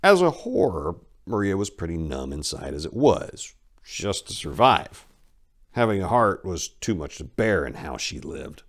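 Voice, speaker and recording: Casting: male storyteller with a low voice, dramatic delivery for crime fiction narration. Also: very animated, a little slow. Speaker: narrator and author reading his story.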